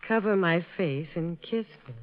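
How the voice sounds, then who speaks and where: A woman's voice: lowish voice, animated, normal speed, natural voice. An actress playing a frightened young woman pleading urgently for help in a 1940s radio drama. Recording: dull lo-fi vintage radio recording.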